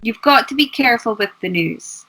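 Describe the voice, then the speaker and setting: A woman's voice: a little high, animated, a little fast, sharp tone, slightly rough, poor audio. A woman, co-hosting a casual chat, affirming and agreeable. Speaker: co-host.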